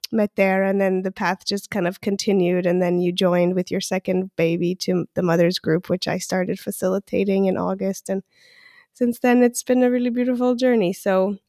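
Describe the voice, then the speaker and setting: Gravelly-voiced woman podcast host. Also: mid-range voice, little pitch movement, moderate pace, a little mellow, dry recording, clean studio sound. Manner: friendly, laughs often, many fillers. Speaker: podcast host and postpartum doula. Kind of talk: podcast interview with scripted intro.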